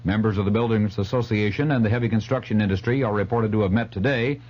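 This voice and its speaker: A man's voice: lowish voice, fast, darkish tone. A man with an American accent reading a scripted local news bulletin on radio. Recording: dull 1970s AM radio recording.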